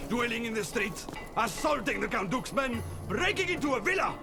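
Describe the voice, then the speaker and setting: Very high-pitched blustering guard. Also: male voice, very animated, moderate pace, vocal fry, music in the background, full character voice. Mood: gloating, scolding. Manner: scolding, self-important. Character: officious, blustering male city guard henchman. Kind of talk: cartoonish video game acting.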